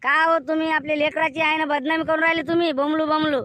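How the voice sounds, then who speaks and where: A man voicing an exasperated village peacemaker in a cartoon comedy, admonishing in a rural Vidarbha Marathi accent with a pitched-up voice. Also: little pitch movement, fast talker, sharp tone. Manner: admonishing, mediating.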